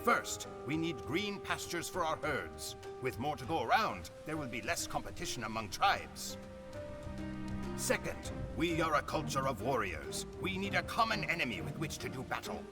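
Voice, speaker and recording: An actor playing a grim Mongol warrior who solemnly recounts his Khan's conquests as dramatic game cutscene narration, with deliberate phrasing. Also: fairly high pitch, very animated, over music. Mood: solemn, foreboding.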